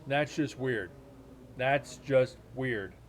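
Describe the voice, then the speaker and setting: A man's voice: medium pitch, extremely slow, slight background noise. Chatty blunt man on a livestream. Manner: chatty, blunt and joking, with swearing. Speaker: paranormal investigator reviewing evidence. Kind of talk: casual livestream chat.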